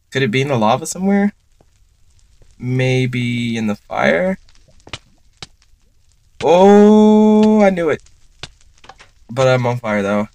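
A man's voice: medium pitch, no echo. A young man giving casual gameplay commentary for his channel, chatting to viewers and reacting aloud as he plays. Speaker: gaming YouTuber.

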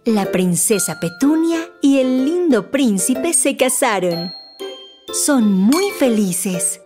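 A woman narrating a scripted children's story in an expressive, deliberate storytelling manner. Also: a little high, over music.

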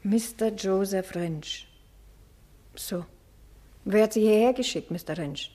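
An actress playing a wary, reserved ageing spinster with curt, measured speech in a German radio drama. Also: female voice, mid-range voice, mellow.

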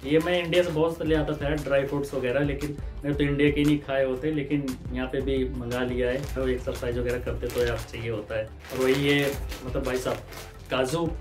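A man in his thirties from India, chatting casually and with animation as he unboxes groceries for his vlog, using his hands as he talks. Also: medium pitch. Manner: chatty, animated, gesturing while talking. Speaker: vlogger. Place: indoor room, green screen and purple backdrop.

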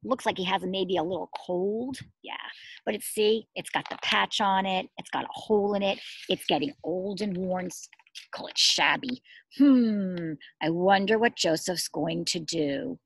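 A middle-aged woman reading a picture book aloud to young children as their teacher, expressive, asking questions and pausing for answers. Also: mid-range voice, slightly rough, dry recording. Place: outdoors among trees and shrubs.

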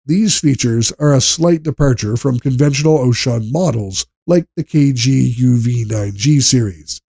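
A man reading a scripted product review as narrator, in a measured, polished and evenly enunciated way. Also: medium pitch, vocal fry, warm tone, no room sound, clean studio sound.

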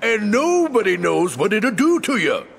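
A man voicing a big, bumbling cartoon bully with a gravelly, blustering delivery and folksy, uneducated grammar in a video game. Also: high voice, sing-song, unhurried, a little hiss or hum, exaggerated voice. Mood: wary. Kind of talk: cartoon villain game acting. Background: game music.

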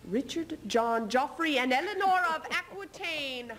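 A proud, vengeful medieval queen acted by a woman speaks in a fairly high voice. She takes her time over each taunt, biting and measured, in the manner of a theatrical stage reading. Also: lively intonation, fairly bright tone. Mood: defiant. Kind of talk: theatrical readers theater duo performance. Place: stage in front of curtain.

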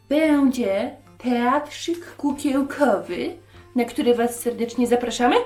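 High voiced lady doing kids bible storytime, slow and simple, roomy echo. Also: a bit bright. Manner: simple, direct address to children. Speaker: presenter of a children's religious show. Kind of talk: storytelling for young children. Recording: roomy echo, music behind.

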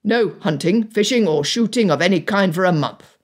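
A woman voicing a stern, exasperated gentleman father in an audiobook, with a gruff, commanding and formal manner. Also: medium pitch, animated, normal speed, lightly acted. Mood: severe. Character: stern, exasperated titled father of a wilful daughter. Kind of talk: audiobook character dialogue.

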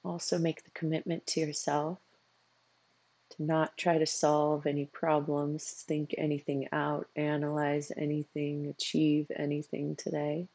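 A meditation coach guiding a practice in a soothing way, with unhurried phrases, long pauses and a husky, gravelly voice. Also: mid-range voice, monotone, darkish tone, no room sound. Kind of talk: guided meditation.

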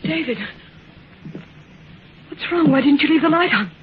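An actress playing a frightened, anxious young woman in a 1950s radio drama, halting and repeating her words. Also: high-pitched, expressive. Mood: alarmed. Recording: tinny, noisy 1950s radio recording.

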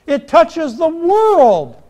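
A middle-aged American man preaching a sermon in church, deliberate and emphatic, in short phrases with pauses. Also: very high-pitched, huge pitch swings, a little mellow. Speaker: Lutheran pastor. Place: church, indoors at a pulpit.

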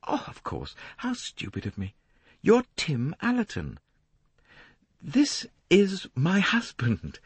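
A man voicing a young, wealthy woman who speaks softly and a little hesitantly. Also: mid-range voice, wildly swooping pitch, unhurried, vocal fry, breathy edge, dry recording, pitched above the performer's own voice, full character voice.

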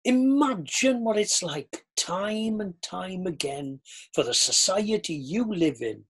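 Older Welsh man, husky and slow. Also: high voice. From Wales. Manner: earnest, reflective, animated gestures. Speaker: former pastor. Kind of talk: video call conversation. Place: study lined with bookshelves. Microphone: video call.

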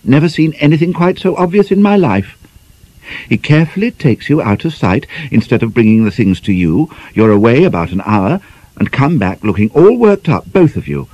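A man's voice: mid-range voice, sing-song, normal speed, a little mellow, voice pushed up, rough recording. A man doing a teasing, mocking nineteen-year-old girl. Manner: gleeful, mocking, needling. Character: teasing mischievous young woman of nineteen.

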